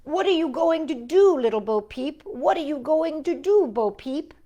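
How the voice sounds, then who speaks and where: A woman reading the part of a gentle, earnest small boy from a nursery rhyme in lilting, measured verse. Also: high voice, lively intonation, lo-fi sound, voice pushed up. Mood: gently questioning.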